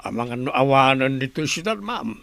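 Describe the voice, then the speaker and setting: A man's voice: mid-range voice, animated, fairly quick, gravelly, a bit bright, no echo. A man with a Filipino Ilocano accent playing a deferential but questioning hired helper in an audio drama. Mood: reluctant, compliant. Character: plain-spoken hired male underling and helper.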